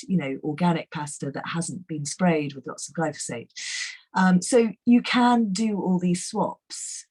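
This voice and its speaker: Hoarse, low-voiced woman. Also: a little mellow, rough recording. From England. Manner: enthusiastic, chatty, frequent fillers. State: croaky, hoarse voice. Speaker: guest nutrition expert. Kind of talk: webinar talk.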